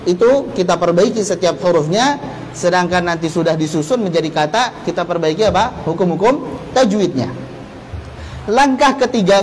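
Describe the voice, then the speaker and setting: A man's voice: sits high, a little hiss or hum, poor audio. An Indonesian man giving an instructional religious lecture as a teacher, explaining step by step with rhetorical questions. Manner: explanatory, poses rhetorical questions and answers them. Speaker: Islamic teacher giving a Quran recitation course. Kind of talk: instructional religious lecture with Arabic terms and quotations.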